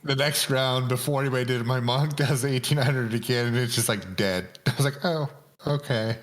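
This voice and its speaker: A man's voice: medium pitch, brisk. A man co-hosting a podcast in casual gaming chat, self-effacing and swearing casually. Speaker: podcast co-host.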